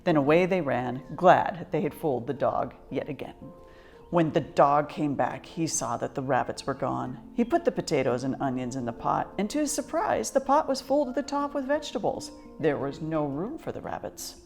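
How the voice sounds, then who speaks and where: A woman reading a children's picture book aloud in an expressive scripted storytelling style. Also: sits low, normal speed, faint music behind. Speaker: storybook reader.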